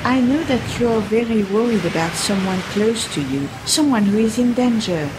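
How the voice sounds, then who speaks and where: A woman's voice: fairly high pitch, lively intonation, moderate pace, background noise, close to an everyday voice. A woman playing a polite, earnest French fortune teller in an amateur radio play, formal in manner and with a French accent. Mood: earnest, knowing.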